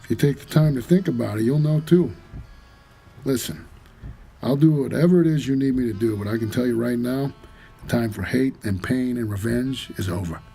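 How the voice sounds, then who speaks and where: Gravelly, world-weary noir cabbie. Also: male voice, medium pitch, normal speed, warm tone, faint music behind. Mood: stern, counselling. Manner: hard-boiled, laconic, plain-spoken. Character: world-weary, wry male cab driver for the dead. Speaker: narrator and lead character. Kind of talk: noir audio drama narration and dialogue.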